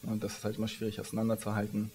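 A man's voice: on the low side, monotone, very fast, mellow, slightly rough. Hesitant man in a big reverberant hall. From Iran. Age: 30s. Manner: hesitant, many restarts and fillers. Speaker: company founder, interviewee. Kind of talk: onstage conversational interview. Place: large conference hall stage.

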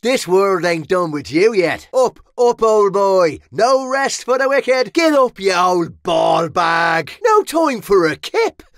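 An actor voicing a cocky, mocking Irish sellsword in a video game, with cheeky, punchy, colloquial delivery. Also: male voice, sits high, big pitch swings, slow pace, hardly any pauses, a bit bright, no room sound, clean studio sound, heavily acted. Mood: urging, gruff. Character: brash, mercenary male sellsword, cocky and mocking. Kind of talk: video game battle voice lines.

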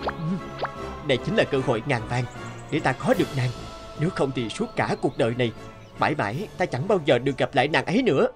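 A man's voice: mid-range voice, natural voice. A man voicing an ambitious, lovestruck young hunter in a cartoon, musing to himself.

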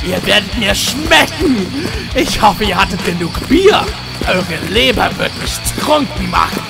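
An actor playing a hungry, theatrical forest monster with a creaky voice in a German horror-comedy audio play. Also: male voice, sits high, sing-song, over music, full character voice, low-quality recording. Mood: gloating menace. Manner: theatrical, drawn-out, sing-song rhymes. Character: hungry cackling male forest monster, gloating and whiny.

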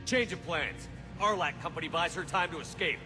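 An actor playing a calm, determined human military commander giving clipped orders in a game cutscene. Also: male voice, a little high, moderate pace, long reverb tail, over music, lightly acted. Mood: steady command. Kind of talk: video game cutscene acting.

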